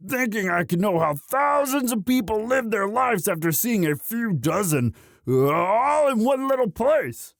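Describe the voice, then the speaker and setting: Man playing a gravelly, sweetly halting young orc. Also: sits high, big pitch swings, no room sound, clean studio sound, full character voice. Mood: tender, earnest. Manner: halting, stammering, bashful earnest delivery. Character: young male orc, gentle earnest himbo sweetheart. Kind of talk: intimate romantic roleplay audio drama.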